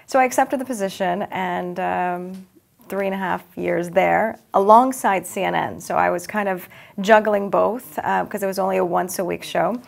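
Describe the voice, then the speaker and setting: Chatty woman with a gravelly edge. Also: medium pitch, steady tone, moderate pace. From Los Angeles. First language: Farsi. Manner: upbeat, chatty, frequent ums. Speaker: TV news anchor, guest. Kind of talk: interview answers.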